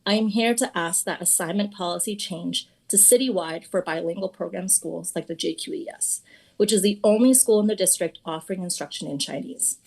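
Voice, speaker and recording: A woman in her thirties speaks at a school committee meeting, reading her prepared comments. She sounds earnest and formal. Her voice sits somewhat low for a woman. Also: brisk, creaky, a little mellow, slightly rough. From Boston area. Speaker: teacher and parent giving public comment. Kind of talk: reading prepared public testimony.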